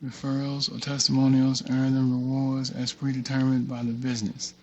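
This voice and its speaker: A man's voice: mid-range voice, monotone, moderate pace, mellow, muffled recording, a little hiss or hum. A man hosting a casual tutorial, reading a document aloud and muttering offhand asides to himself as he works. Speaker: tutorial host. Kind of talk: casual screen-share walkthrough, reading a document aloud with off-the-cuff remarks.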